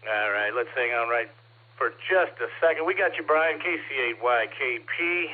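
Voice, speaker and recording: A man's voice: medium pitch, expressive, normal speed, phone-quality sound. A man running a ham radio net, taking check-ins and reading call signs in a friendly, casual way. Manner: friendly, calls people brother. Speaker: net control operator. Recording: radio transmission.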